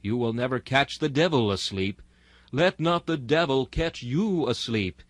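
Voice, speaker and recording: A man's voice: mid-range voice, animated, a little slow, no room sound. Solemn man preaching, very dry close sound. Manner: exhortatory, rhetorical, solemn. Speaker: sermon reader. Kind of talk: dramatic sermon reading in archaic Victorian English.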